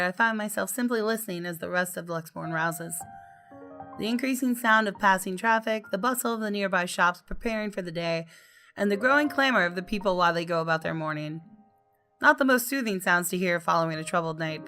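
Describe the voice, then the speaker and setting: A woman who hosts gaming videos, reading visual novel narration aloud in an expressive storytelling manner. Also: lowish voice, quick pace, soft background music.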